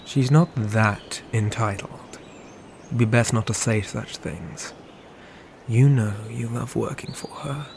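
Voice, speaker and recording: A man acts out a stern, ambitious knight in a medieval fantasy roleplay. His medium-pitched voice carries formal, old-world phrasing in a measured delivery, with audible ambient noise in the recording. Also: gravelly. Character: dutiful, stern male knight, ambitious twin brother, protective. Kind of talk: scripted medieval fantasy audio roleplay, ASMR.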